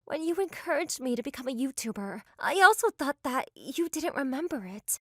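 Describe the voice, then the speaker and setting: A woman's voice: sits high, lively intonation, moderate pace, pushed and bright, no room sound, studio quality. A woman voicing a sweet, devoted young woman in a manga dub, speaking earnestly. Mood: shy, affectionate.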